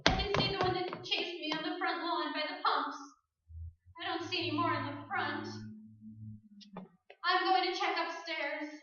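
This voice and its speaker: A woman's voice: extremely high pitch, fairly even intonation, moderate pace, long pauses, background noise. An actress playing a terrified young woman in shock in a staged radio play, faltering and repeating herself, dazed and close to hysterics. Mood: anxious. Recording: roomy, dull live stage recording.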